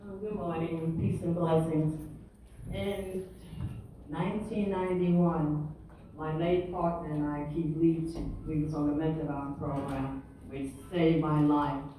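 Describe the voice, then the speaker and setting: Mellow woman in her seventies, echoey room. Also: low-pitched, flat delivery, a little slow. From Long Island, New York, USA. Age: 70s. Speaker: panelist. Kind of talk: personal remarks on a panel. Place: echoing room with an audience. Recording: echoey hall, distant mic, faint noise.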